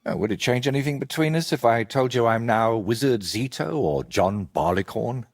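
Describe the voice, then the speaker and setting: A man playing an evasive, eccentric wandering painter in a medieval video game, with a husky, rough-edged voice and a theatrical, riddling way of talking. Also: medium pitch, expressive, normal speed. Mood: playful, cryptic. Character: evasive eccentric male wandering painter, wry and world-weary.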